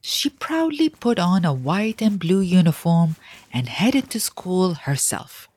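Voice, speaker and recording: Slow, highly expressive woman storyteller. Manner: expressive, deliberate phrasing with dramatic pauses. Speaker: podcast host and storyteller. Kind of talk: scripted storytelling narration for children.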